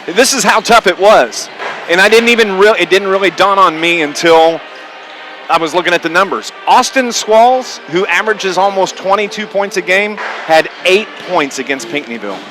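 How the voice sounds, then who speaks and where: A man's voice: high voice, lively intonation, moderate pace, pushed and bright, a little hiss or hum. A man hosting a live sports radio pregame show as play-by-play announcer, talking in a conversational, folksy way. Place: corner perch in a school gymnasium. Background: gym ambience, bouncing basketballs.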